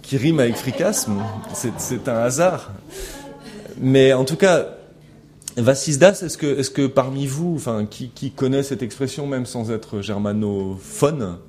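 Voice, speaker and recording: A man's voice: mid-range voice, unhurried, darkish tone, faint music behind. A middle-aged man lecturing a class, thinking aloud with many fillers and restarts and putting questions to the students. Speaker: teacher. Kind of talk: classroom lecture analyzing a recorded interaction.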